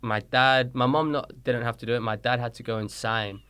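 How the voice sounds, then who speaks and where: A man's voice: mid-range voice, fairly quick, clear, resonant tone. A man in his thirties from Colombia, raised in London, with a British accent, telling stories as an interview guest and acting out quoted dialogue. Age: 30s. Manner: animated storyteller, acts out quoted dialogue. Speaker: guest, soccer coach. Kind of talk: casual interview chat.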